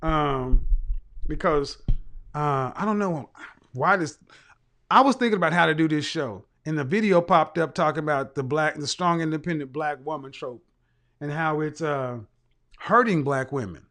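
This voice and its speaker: A man's voice: a little high, moderate pace, fairly bright tone. A man hosting a casual live-stream chat, blunt and rambling, talking. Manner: blunt, rambling, with frequent restarts and profanity. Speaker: livestream host and image consultant.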